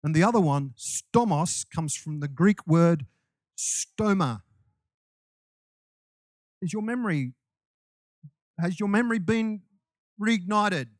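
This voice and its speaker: A man's voice: high voice, animated, slow, long pauses, no echo, studio quality. A man preaching a sermon to his congregation in a loose, conversational way, with restarts and asides. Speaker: pastor.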